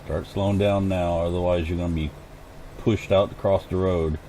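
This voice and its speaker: Hoarse man chatting over gameplay. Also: low-pitched, fairly even intonation, normal speed, warm tone, a little hiss or hum. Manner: chatty, thinks aloud while playing. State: hoarse, clearing throat. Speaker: gaming channel host. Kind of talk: casual gameplay commentary.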